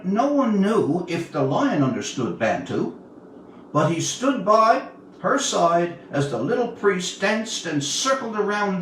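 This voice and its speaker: A man in his seventies from Newfoundland reads his own literary tale aloud as its author, in a measured, expressive storytelling manner with dramatic pauses. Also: sits high. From Newfoundland, Canada. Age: 70s. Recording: roomy, distant mic, faint background noise.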